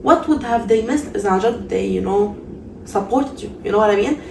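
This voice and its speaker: A woman's voice: mid-range voice, gravelly, mellow, echoey room, recorded from a distance, a little hiss or hum, poor audio. A woman from Lebanon, hosting a casual podcast chat and cheering her guest on. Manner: encouraging, quick interjections. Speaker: podcast host.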